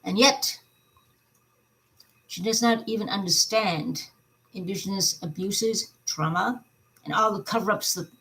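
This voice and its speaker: A woman giving informal opinion commentary as a vlog host, speaking in a halting but emphatic way. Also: mid-range voice, a bit bright, rough recording.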